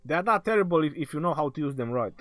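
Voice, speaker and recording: Male streamer, fraying hoarse voice, slightly high, casual Q&A host. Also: animated, very fast, a bit bright, no room sound. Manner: reads viewer questions aloud then answers, laughs often. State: hoarse, losing his voice. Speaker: game livestream host. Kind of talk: casual live Q&A reading chat questions. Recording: faint background music.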